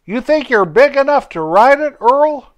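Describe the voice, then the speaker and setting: A man voicing an excitable, chatty little boy in a children's story. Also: extremely high pitch, moderate pace, dull audio, pitched above the performer's own voice. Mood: eager.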